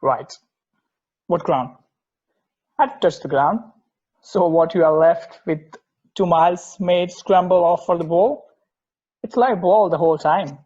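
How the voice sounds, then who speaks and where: A man's voice: sits high, a little slow, pauses often, a little mellow. A man acting as an earnest, particular, opinionated football fan in a conversational table read, emphatic and occasionally stumbling over words. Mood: explanatory, bemused. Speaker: amateur actor in a remote table read. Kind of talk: conversational dramatic script reading. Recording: dull lo-fi microphone sound.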